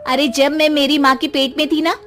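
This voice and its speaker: A woman's voice: high voice, little pitch movement, fairly quick, over music, close to an everyday voice. A woman doing a bossy mocking wife. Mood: scolding. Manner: scolding, mocking. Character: bossy, sharp-tongued young wife.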